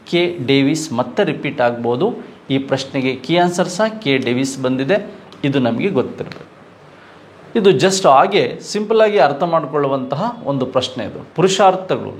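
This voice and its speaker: A young man, teaching as an exam coaching teacher, walking through quiz questions and answering his own questions. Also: a little high. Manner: explanatory, repeats key answers, asks and answers own questions.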